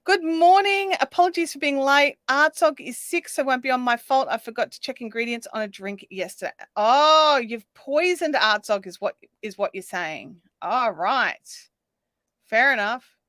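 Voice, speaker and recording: Aussie woman chatting on a craft stream, a bit raspy, bright voice, dry close mic. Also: sits high, animated, moderate pace, no echo. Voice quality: slightly raspy today. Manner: chatty, reads viewer comments aloud, counts stitches under her breath. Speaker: craft livestream host. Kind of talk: casual chat while crocheting. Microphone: desk microphone.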